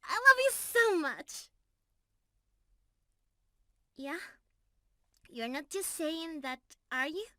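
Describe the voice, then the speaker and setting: A sweet, adoring young mermaid, played by a woman, speaks slowly in a high, bright voice. She is openly emotional and affectionate, as a lover in an intimate ASMR romance roleplay. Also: very animated, no room sound, studio quality, exaggerated voice. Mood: overjoyed, tearful. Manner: affectionate, breathy sighs and giggles, emotional.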